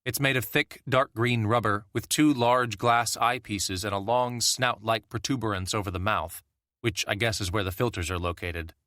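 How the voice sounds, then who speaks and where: A man reading a post-apocalyptic audiobook as its first-person narrator, in a steady, plain storytelling voice. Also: on the low side, moderate pace, no echo, clean studio sound.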